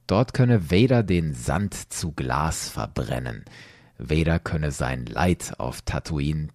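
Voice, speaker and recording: Male podcast storyteller, very low voice, expressive dramatic narration, close dry sound. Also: gravelly, dry recording. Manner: expressive, addresses listeners directly. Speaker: host and storyteller.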